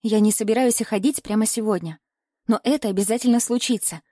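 A woman's voice: on the high side, fast talker, warm tone, no room sound, clean studio sound, close to an everyday voice. A woman narrator voicing an earnest young adult daughter, pleading and soothing yet resolute. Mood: tender pleading.

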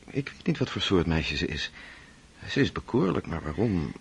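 A thoughtful, kindly family friend in a radio play. He asks gentle, probing questions. His voice is very low and very husky. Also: big pitch swings, normal speed, exaggerated voice.

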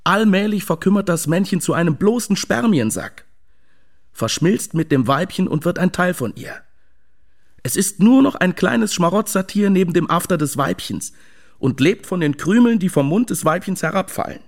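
An actor playing a wry, misanthropic fish lover in a German radio drama, telling things in a deliberate, matter-of-fact way. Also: male voice, high voice, lively intonation, vocal fry, exaggerated voice. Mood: dry, sardonic.

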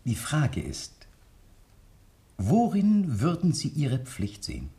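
Earnest village vicar, expressively inflected. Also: male voice, a little high, wildly swooping pitch, slow, warm tone, full character voice. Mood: grave, probing. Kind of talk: radio drama acting.

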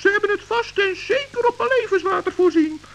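A woman's voice: extremely high pitch, a little fast, gravelly. A woman playing a small, anxious but plucky male forest gnome in a children's radio play, exclaiming and prone to stammer. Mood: earnest. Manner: exclaiming, stammering when nervous. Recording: dull, hissy old radio recording.

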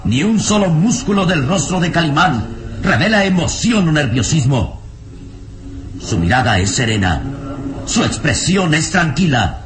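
A man's voice: fairly high pitch, very animated, unhurried. A man narrating an old radio serial in a solemn, suspenseful, emphatic scripted style. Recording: roomy, noisy old radio drama recording.

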